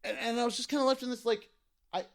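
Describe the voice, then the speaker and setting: A man's voice: very high-pitched, steady tone, fast talker, vocal fry. A man co-hosting a podcast, telling a story casually and comically in a rambling, crude way. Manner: rambling, profane, repeats "like". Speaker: podcast co-host.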